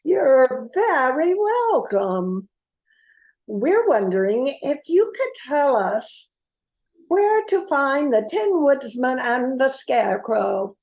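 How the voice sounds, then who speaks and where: Woman playing sweet, high-voiced polite Dorothy. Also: big pitch swings, slow pace, a little mellow, full character voice, rough recording. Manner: polite, reassuring. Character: Dorothy, polite, helpful storybook girl. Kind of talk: amateur radio play acting.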